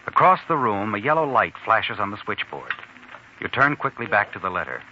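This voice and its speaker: A man's voice: fairly low pitch, very animated, a little fast, sharp tone, slight background noise, full character voice. An American man playing an ominous, taunting narrator in a 1940s radio suspense drama, addressing the hero dramatically. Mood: grim, suspenseful. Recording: dull 1940s radio recording, music behind.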